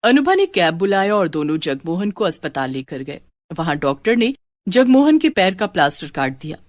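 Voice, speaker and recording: A woman's voice: on the low side, big pitch swings, moderate pace, no room sound. A woman storyteller reading a scripted story as narration.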